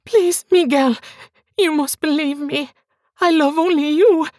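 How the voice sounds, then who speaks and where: Terrified pleading young woman, acted by a woman; high, tearful, strained, dry sound. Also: unhurried, darkish tone, no echo. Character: frightened young woman pleading with her lover.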